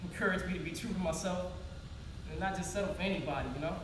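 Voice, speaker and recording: A woman's voice: on the low side, fast, close to an everyday voice. A woman playing a wounded yet faithful grieving woman in an emotional, colloquial theatrical monologue. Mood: fond, tender. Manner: emotional, colloquial, emphatic, pleading. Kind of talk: theatrical one-act stage monologue. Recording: roomy echo, distant mic, loud noise.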